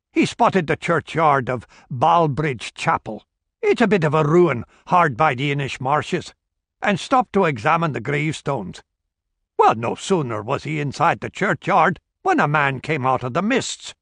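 A man's voice: on the high side, vocal fry, fairly bright tone, no echo, pitched above the performer's own voice, full character voice. A man voicing a friendly middle-aged barkeep, deferential and spinning a tale. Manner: deferential, storytelling.

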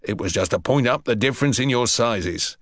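A man voicing a brisk, indignant but protective male PE teacher in a storybook, with a hectoring manner. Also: mid-range voice, animated, dry recording, clean studio sound, natural voice. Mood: brisk concern. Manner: loud, scolding, hectoring.

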